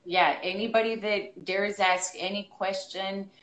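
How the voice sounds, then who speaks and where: A woman's voice: medium pitch, steady tone, measured pace, fairly bright tone, roomy sound, poor audio. A middle-aged woman with a Spanish-tinged Texas border accent, a guest talking casually and laughing often. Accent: Mexican-American Spanish-tinged. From Texas, US–Mexico border. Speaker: guest, dancer. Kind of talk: casual interview.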